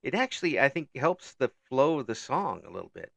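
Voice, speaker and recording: A man's voice: medium pitch, very animated, a little fast, vocal fry, no room sound. A man hosting an interview, chatting casually, laughing often and tripping over his words. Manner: chuckles often, stumbles and repeats words. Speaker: interview host.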